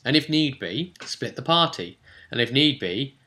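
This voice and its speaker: A man recapping a tabletop roleplaying session as game master, telling it in an animated way with emphatic asides. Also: medium pitch, measured pace.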